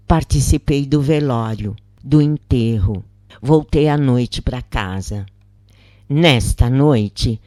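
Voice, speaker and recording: A narrator reading a scripted first-person account in measured, deliberate phrases with pauses. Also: mid-range voice, mellow, dry recording, clean studio sound.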